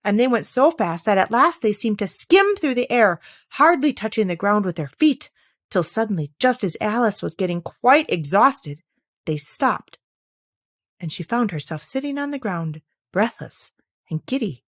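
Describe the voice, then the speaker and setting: A woman narrating a classic children's story aloud in an expressive, scripted storytelling style. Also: mid-range voice, normal speed, no echo, studio quality. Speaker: audiobook reader.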